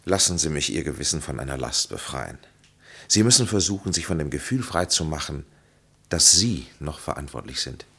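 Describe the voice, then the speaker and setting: An actor playing a grave, authoritative physician in a German radio play, speaking in measured, emphatic, formal phrases. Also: male voice, extremely low pitch, expressive, gravelly, bright, forceful tone, breathy edge, exaggerated voice. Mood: firm, counselling. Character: grave, authoritative physician and counsellor, solemn and consoling.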